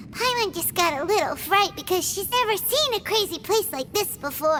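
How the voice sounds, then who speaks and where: A woman voicing a tiny, excitable, childlike fairy companion in a video game, with squeaky, bubbly, exaggerated delivery. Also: full character voice. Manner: bubbly, exaggerated, third-person talk. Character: tiny excitable childlike female fairy companion who speaks in third person. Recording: loud game music behind.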